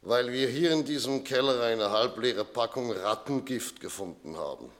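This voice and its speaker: An actor playing a composed, probing police inspector in a 1960s German radio play, speaking in a measured, formal way. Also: male voice, mid-range voice, expressive, a bit bright, close to an everyday voice. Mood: matter-of-fact.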